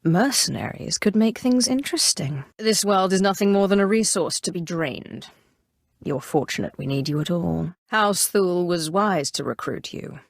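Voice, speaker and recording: An actress playing a cool, ambitious Sith inquisitor in a video game, formal, haughty and commanding in measured, scripted lines. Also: female voice, fairly low pitch, expressive, dry recording, clean studio sound. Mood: cool, confident, then haughty, stern.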